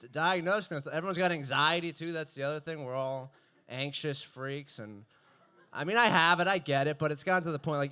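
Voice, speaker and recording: Young man doing deadpan stand-up. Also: on the high side, clear, resonant tone. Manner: dry, deadpan delivery that breaks into laughs. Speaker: stand-up comedian. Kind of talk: stand-up comedy set.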